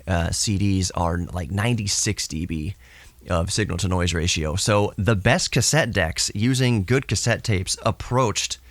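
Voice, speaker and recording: A man's voice: lowish voice, dry recording. A man hosting a podcast in a casual, rambling technical monologue with a hobbyist's enthusiasm, recorded to cassette tape. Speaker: podcast host.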